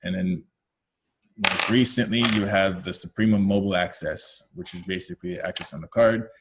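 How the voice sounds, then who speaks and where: A Canadian man speaking at a fairly low pitch walks listeners through a product webinar. His tone is explanatory and loose, with many ums and uhs along the way. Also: fairly even intonation, normal speed, background noise. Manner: explanatory, many "um" and "uh" fillers, self-corrections. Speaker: technical team lead presenting a product webinar. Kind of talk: webinar presentation.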